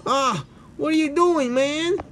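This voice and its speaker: Man doing a high-pitched friendly robot, noisy recording. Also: takes it slowly, a bit bright, heavily acted. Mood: pleading, alarmed. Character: friendly, pleading, soft-hearted male robot with screen face. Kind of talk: amateur comic dub.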